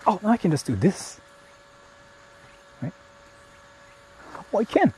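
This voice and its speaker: A man's voice: high voice, big pitch swings, slow, mellow, slight background noise, rough recording. Chatty young man streaming outdoors. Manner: chatty, talks to viewers, frequent swearing and fillers. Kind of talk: casual live-stream vlogging. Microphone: phone held while streaming.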